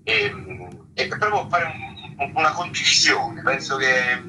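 A man's voice: on the high side, lively intonation, a little slow, background noise. A man chatting casually as a theatre guest in a radio interview.

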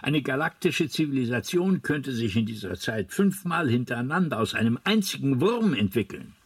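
An actor in a German radio play playing a weary, rueful old alien planet builder, gently condescending and husky. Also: male voice, mid-range voice, sing-song, moderate pace, dry recording, studio quality. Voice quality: husky, creaky.

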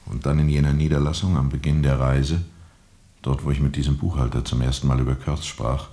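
A brooding riverboat captain, a man haunted by what he remembers. His voice is extremely deep, dark and full of gravel. He delivers his radio drama monologue in a grave, measured way, often dropping close to a whisper. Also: flat delivery. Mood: grave, bitter. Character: brooding, haunted steamboat captain recalling a colonial river journey.

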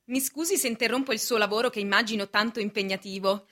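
Snooty deputy director in a radio play, highish bright voice, formal and fake polite. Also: female voice, quick pace. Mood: coolly polite. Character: smoothly officious, condescending deputy bank director. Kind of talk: radio stage drama acting.

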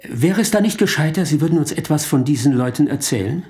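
A man's voice: on the high side, expressive, quick pace, a little mellow. An actor playing a worldly, commanding lawyer in a German radio drama, courteous but insistent in his questioning. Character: worldly, commanding male lawyer chairing the meeting.

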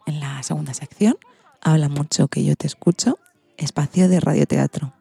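A woman's voice: sits low, steady tone, normal speed, gravelly, dark tone, husky, no echo. A woman with an accent from Spain hosting a children's radio programme, partly reading from a script.

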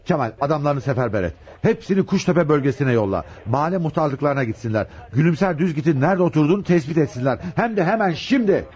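A man's voice: fairly high pitch, expressive, quick pace, hardly any pauses, darkish tone, lightly acted. A man acting an impatient, commanding police chief inspector in a radio drama, urgently giving short, repeated orders. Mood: exasperated, commanding. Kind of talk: Turkish radio theatre acting. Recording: dull, lo-fi radio recording.

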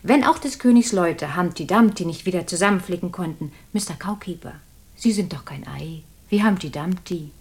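An actress playing a brisk, reassuring hospital nurse who gently scolds and teases, in a German radio crime drama. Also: female voice, fairly low pitch, close to an everyday voice. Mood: teasing, scolding.

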